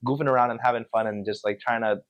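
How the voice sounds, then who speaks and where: A young man from Connecticut, a podcast guest telling stories in an animated, slangy, casual way. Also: medium pitch, fast, dry recording, dull audio. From Connecticut, USA. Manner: animated, slangy storytelling with many filler yeahs. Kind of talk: casual chat.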